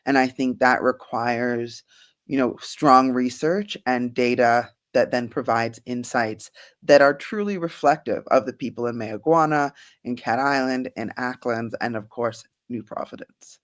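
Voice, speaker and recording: A woman, a guest scientist, answering interview questions earnestly and thoughtfully, with frequent soft laughs. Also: extremely low pitch, measured pace. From Bahamas.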